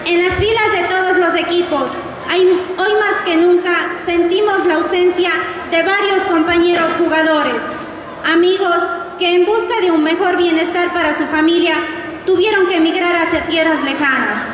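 Woman, Ecuadorian accent, very high voice, formal read speech, heavy echo. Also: fairly even intonation, moderate pace, noisy background. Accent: Ecuadorian Spanish. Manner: reading from a prepared text. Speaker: championship president. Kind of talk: formal speech at a sports opening ceremony. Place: covered outdoor sports court, music and crowd behind. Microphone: handheld microphone through speakers. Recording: echoey outdoor PA with loud crowd and music.

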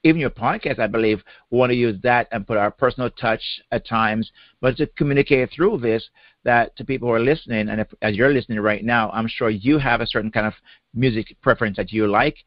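A man's voice: mid-range voice, a little fast, no echo. A man with a Jamaican accent co-hosting a podcast in casual chat, often repeating a word as he gets going. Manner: conversational, repeats words as he starts phrases. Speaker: podcast co-host. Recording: dry, slightly dull podcast audio.

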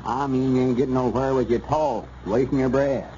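Man playing mellow, pompous old Southern country justice. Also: mid-range voice, fairly even intonation, moderate pace, natural voice. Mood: preachy. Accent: rural Southern US. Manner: folksy, pompous, drawling. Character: exasperated, self-righteous old country justice of the peace. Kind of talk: 1940s radio comedy acting. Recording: muffled, noisy old radio recording.

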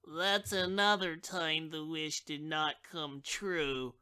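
A woman's voice: low voice, steady tone, slow, sharp tone, smooth, voice pushed down, exaggerated voice. A woman voicing an eager young child in a storybook, crying out wishes. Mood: disappointed. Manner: eager, cries out. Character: excited young child wishing for treats.

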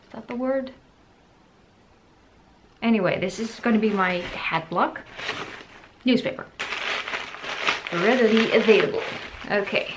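A woman's voice: mid-range voice, background noise. Chatty woman vlogging from her living room. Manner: chatty and self-deprecating, wondering aloud. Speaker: sewing vlogger. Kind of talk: casual tutorial talk to camera.

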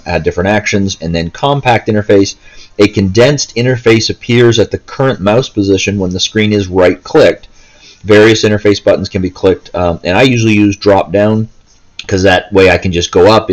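A man's voice: low voice, steady tone, normal speed, low-quality recording. A man giving a casual, unscripted gameplay walkthrough in a hesitant, rambling way, often stopping mid-thought. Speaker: gaming video host. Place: indoors, seated, handheld console in hands.

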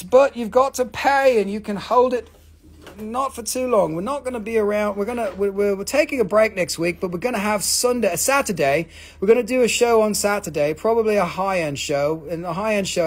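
Man, high voice, husky, joking and profane, live rock auction host. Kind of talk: fast live auction patter. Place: mineral shop indoors.

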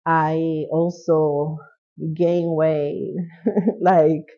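Podcast guest: woman in her thirties from Costa Rica, gravelly, chatty, recorded lo-fi on a couch. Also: low voice, steady tone, extremely slow. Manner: chatty, laughs often, trails off. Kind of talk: casual interview. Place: living room, sitting on a couch.

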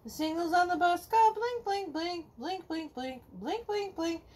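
A middle-aged woman reading a children's picture book aloud to kids at home, slowly singing the verses in a sing-song way. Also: extremely high pitch, expressive, darkish tone, a little hiss or hum. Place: home living room, indoors.

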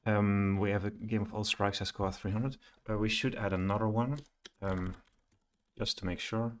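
Low-pitched man thinking aloud while coding. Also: flat delivery. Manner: halting, muttering to himself. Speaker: programmer presenting a coding screencast. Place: small room indoors at home, at a computer. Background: keyboard typing and clicking.

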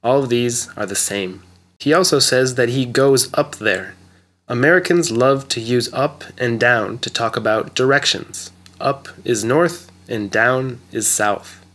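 A man narrating an English lesson, explaining words carefully and clearly. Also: mid-range voice, fairly bright tone. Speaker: English teacher. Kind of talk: slow scripted lesson narration.

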